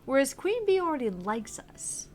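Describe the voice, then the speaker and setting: Animated young woman streamer, high voice. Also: moderate pace. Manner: animated, laughs often, swears casually. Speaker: game streamer co-host. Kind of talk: casual let's-play chat.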